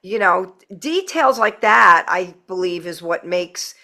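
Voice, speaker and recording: A woman's voice: fairly low pitch, expressive, slow pace, sharp tone. A woman hosting a podcast, chatting and asking questions in a casual interview style. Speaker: podcast host.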